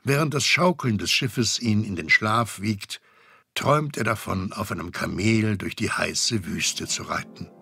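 A man's voice: on the low side, a little slow, vocal fry, sharp tone, breathy edge, dry recording. A man narrating a scripted story for a children's audio drama.

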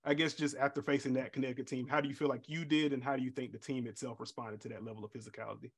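Male reporter, brisk speech, putting press conference questions over a call. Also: medium pitch, steady tone, breathy edge. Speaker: sports reporter. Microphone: video call.

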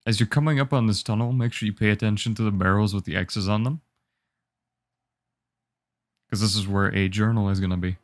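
Low-voiced man narrating a game walkthrough. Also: fairly quick, pauses often, no room sound. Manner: relaxed instructive, easygoing. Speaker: game guide host.

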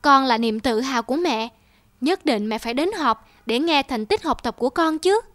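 A woman playing a gentle, patient, poor mother in a cartoon dub, speaking softly and earnestly. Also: sits high, animated, moderate pace, dry recording. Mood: tender, proud. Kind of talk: cartoon dubbing. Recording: loud music behind the voice. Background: music.

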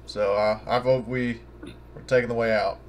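A man's voice: medium pitch, flat delivery, moderate pace. A man chatting casually as a player in a tabletop game, informal and sweary. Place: indoor online voice session.

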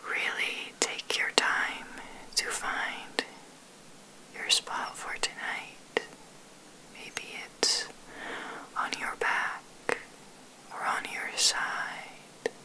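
Whispering woman guiding slow sleep meditation. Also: low-quality recording. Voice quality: breathy whisper. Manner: soothing, gentle, unhurried with long pauses. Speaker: meditation guide, ASMR host. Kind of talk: ASMR guided sleep meditation.

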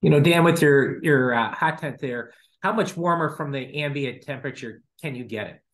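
A man's voice: a little high, moderate pace, a bit bright. A middle-aged man hosting an informal webinar, putting questions to a guest over a video call. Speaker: host relaying audience questions. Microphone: video call microphone.

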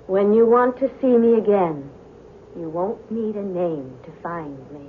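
An actress playing a mysterious, elusive young woman in a 1970s radio drama, cryptic and unhurried. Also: medium pitch, lively intonation, close to an everyday voice. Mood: enigmatic. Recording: slightly noisy, tinny 1970s radio broadcast.

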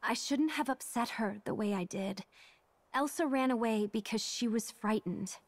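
A young woman playing an earnest, regretful princess searching for her sister in an animated game. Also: on the high side, normal speed, no room sound. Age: young adult. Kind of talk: animated game cutscene acting. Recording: music behind the voice.

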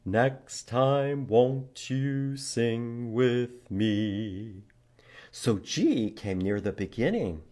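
A man in his fifties hosting a children's storytime, reading and teaching in an animated, emphatic way for young children. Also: medium pitch. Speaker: library storytime host. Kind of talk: children's read-aloud and teaching. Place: indoor public library room.

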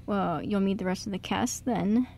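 A woman acts a theatrical stage director, a genial man with a jaunty, mock-formal way of talking. The pitch is middling for a woman, in amateur radio-play style. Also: moderate pace, vocal fry, darkish tone, slight background noise, lightly acted. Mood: encouraging. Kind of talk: amateur radio drama acting.

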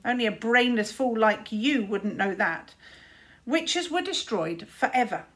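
A woman's voice: fairly high pitch, lively intonation, measured pace, a bit bright. A woman voicing a scornful older-brother boy wizard in a storybook, with sneering, drawling emphasis. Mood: scoffing.

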